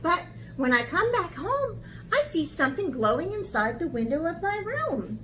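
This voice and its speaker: A woman art teacher talking to young children in an expressive, encouraging way, as in a lesson or picture book read-aloud. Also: very high-pitched, normal speed, slight background noise, low-quality recording. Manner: expressive, encouraging talk aimed at young children, with dramatic gasps.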